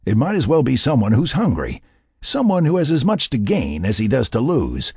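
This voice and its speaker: A gruff, hot-headed male hunter and settler in an audiobook, acted by a male narrator. He is folksy and argumentative, with a raspy voice that swings widely in pitch. The sound is dry. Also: wildly swooping pitch, moderate pace, a little mellow, no room sound, exaggerated voice. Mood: insistent. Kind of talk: audiobook character dialogue.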